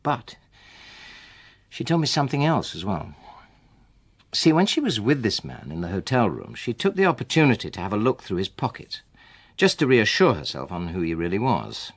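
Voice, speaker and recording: An actor playing a wronged, coldly sarcastic husband in a radio drama, with a dry, deliberate manner. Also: male voice, lowish voice, wildly swooping pitch, full character voice. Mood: cold, accusing. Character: wronged husband, former rugby man, coldly sarcastic and controlled. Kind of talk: naturalistic radio drama acting.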